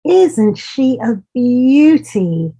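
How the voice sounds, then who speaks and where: A woman voicing a proud, motherly mother duck in a children's storybook, with slow, deliberate delivery. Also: on the high side, sing-song, creaky, dark tone, breathy edge, voice pushed up, exaggerated voice. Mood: proud, exclaiming.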